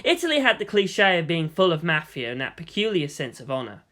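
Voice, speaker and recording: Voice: medium pitch, very animated, brisk, sharp tone. A narrator reading a scripted fan fiction story in audiobook style.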